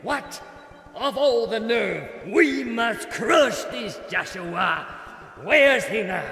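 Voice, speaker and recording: A man's voice: very high-pitched, expressive, slow, bright, forceful tone, full character voice. A man playing a theatrical, gloating tyrant villain who rants and menaces in an audio drama. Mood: outraged. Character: gloating male villain, a ranting tyrant slave master. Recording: echoey reverberant hall sound.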